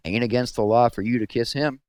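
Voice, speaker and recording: A drunk small-town lawman from a storybook, acted out by a male reader, leering and smug. He drawls slowly and taunts with menacing ease, in a close, dry sound. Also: mid-range voice, fairly even intonation, darkish tone, clear, resonant tone, dry recording, lightly acted.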